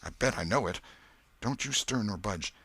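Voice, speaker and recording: A man voicing a superstitious, fearful young country boy with a hushed, shivery, folksy rustic dialect in a storybook. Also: on the low side, lively intonation, normal speed, vocal fry, breathy edge, no echo, voice pushed up, heavily acted. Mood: dread.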